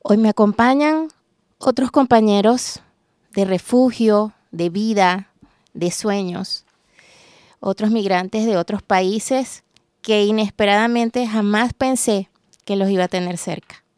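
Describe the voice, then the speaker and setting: A woman from Venezuela, hosting a roundtable in a measured, reflective way at a studio microphone. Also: medium pitch, darkish tone, no room sound. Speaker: host.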